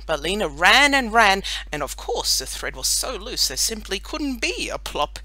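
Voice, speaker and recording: Animated, bright-voiced woman storyteller. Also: mid-range voice, normal speed, dry recording. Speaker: audiobook narrator. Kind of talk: animated children's story narration.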